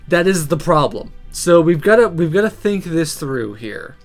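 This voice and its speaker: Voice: medium pitch, very animated, normal speed. A video game host chatting casually and thinking aloud while playing and narrating a visual novel. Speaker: host of a gaming video series. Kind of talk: let's play commentary and read-aloud narration of a visual novel. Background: music.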